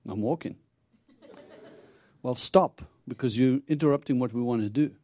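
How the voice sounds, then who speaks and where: Middle-aged South African-born preacher, Texan accent, humorous storytelling sermon, dry close sound. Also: male voice, medium pitch, moderate pace, warm tone, no room sound. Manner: chatty and humorous, acting out dialogue with God. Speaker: visiting preacher. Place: church.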